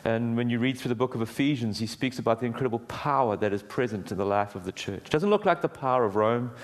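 Booming-voiced middle-aged man preaching. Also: quick pace. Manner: booming, earnest, warm conversational preaching with many restarts. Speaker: guest preacher. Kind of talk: sermon with prayer. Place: church hall.

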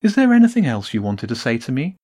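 A man voicing a proud female cat ruler who speaks calmly and with bored scorn. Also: medium pitch, fast talker, breathy edge. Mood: scornful.

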